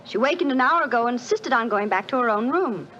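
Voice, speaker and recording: A bubbly young wife. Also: female voice, on the high side, animated, fast, pushed and bright. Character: lively, devoted young wife, woman. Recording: muffled 1940s radio broadcast with faint hiss.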